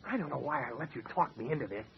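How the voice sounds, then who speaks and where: An actor playing a reluctant male sidekick, husky and sarcastically complaining, in an old-time radio adventure. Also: mid-range voice, expressive, rapid-fire, background noise. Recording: dull old-radio style sound.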